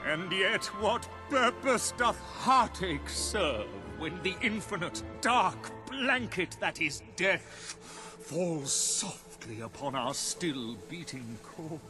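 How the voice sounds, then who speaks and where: A man's voice: sits high, very animated, slow, few pauses, exaggerated voice. A man playing a pretentious tragic stage player, declaiming mournfully in overblown archaic language. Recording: music behind the voice.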